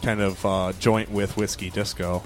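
A man hosting a casual radio interview, asking relaxed questions. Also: lowish voice, unhurried. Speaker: radio host. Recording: music playing underneath.

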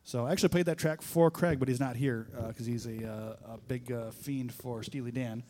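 A man's voice: medium pitch, fairly even intonation, brisk, dark tone. A man hosting a casual radio show in a small studio, joking, laughing often and stumbling over his words.

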